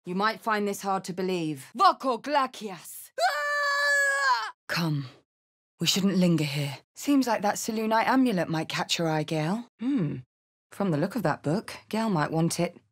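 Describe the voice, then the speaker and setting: A brash fantasy-game warrior woman, with a highly animated voice that swings widely in pitch. She takes her time over her words. Her manner is blunt, cheeky and hot-headed. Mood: curious, watchful, then intense incantation, then alarmed, furious. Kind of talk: fantasy video game character lines and spell calls.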